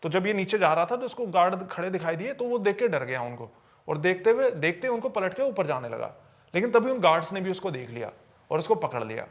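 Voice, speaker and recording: A man with a notably high-pitched voice tells eerie supernatural stories as a podcast storyteller. He speaks conversationally, circling back to repeat and reword phrases for emphasis. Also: very animated, fairly quick. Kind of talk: conversational storytelling of paranormal tales.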